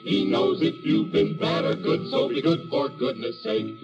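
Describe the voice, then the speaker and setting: High-voiced men sing in vintage close harmony, stretched slowly over prominent music. The quartet's delivery is lively and melodic. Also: male voice, lo-fi sound. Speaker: singer in a vocal quartet. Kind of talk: 1940s close-harmony singing jingle.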